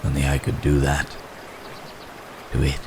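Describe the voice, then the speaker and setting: Male actor as wounded werebeast in human form, ASMR drama. Extremely deep, gentle, troubled, sighing, old-fashioned wording. Clear rain-like ambience behind. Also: completely flat delivery, background noise, full character voice. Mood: brooding, troubled. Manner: halting, formal old-fashioned phrasing, sighing. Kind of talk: ASMR roleplay acting.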